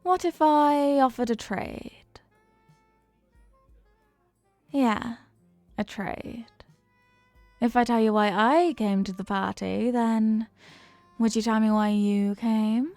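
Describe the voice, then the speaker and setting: A woman's voice: fairly high pitch, takes it slowly, a little mellow, dry recording, faint music behind. A woman playing a flirty, playful stranger at a New Year's party in an intimate audio roleplay, with a coy, teasing, murmuring delivery.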